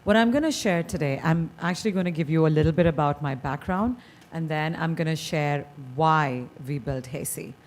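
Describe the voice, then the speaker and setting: A woman's voice: sits low, normal speed. A woman from India with an Indian accent, a company founder giving a persuasive presentation at a school board meeting. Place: meeting hall.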